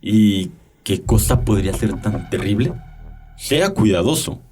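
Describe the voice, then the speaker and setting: A haughty older doctor with a lowish voice played by a male actor, cold and menacing. He speaks with stern condescension in an urban fantasy audio drama, with faint music behind him. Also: lively intonation, a little slow, darkish tone. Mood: cold threat. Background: background music.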